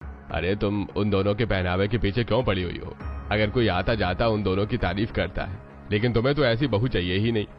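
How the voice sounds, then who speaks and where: Firm, traditional middle-aged cartoon father-in-law, lowish voice male performer, music underneath. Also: a little fast, lo-fi sound, natural voice. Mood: reproving.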